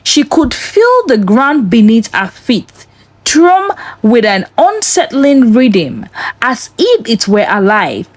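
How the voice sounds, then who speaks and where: Woman narrator, dramatic suspense storytelling, slow measured pace, dry close sound, scripted tale. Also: a little high, huge pitch swings, no echo.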